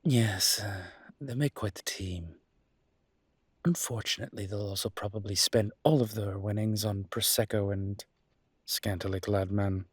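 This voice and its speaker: Man playing a low, husky, charming young mafia boss. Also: fairly even intonation, measured pace, no room sound. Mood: wry, fond. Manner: playful, smooth-talking, teasing. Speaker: voice actor in a roleplay audio. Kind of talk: intimate audio roleplay acting.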